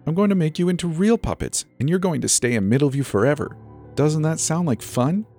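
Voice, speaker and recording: A man's voice: a little high, expressive, brisk, dark tone, soft background music, voice pushed up. A man voicing a creepy, petulant little girl who controls people like puppets, with a whiny, sing-song manner.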